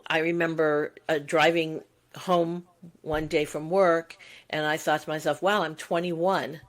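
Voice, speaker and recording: A woman's voice: low voice, flat delivery, measured pace. A woman in her sixties co-hosting a podcast, talking in a reflective, musing way with long winding sentences. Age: 60s. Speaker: podcast co-host. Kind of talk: casual conversational chat.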